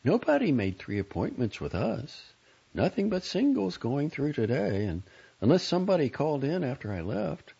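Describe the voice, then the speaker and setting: Prim woman receptionist, played by a male narrator: lifted pitch, husky, brisk, matter-of-fact, mildly fussy, storybook reading. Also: wildly swooping pitch, warm tone, highs cut off, exaggerated voice.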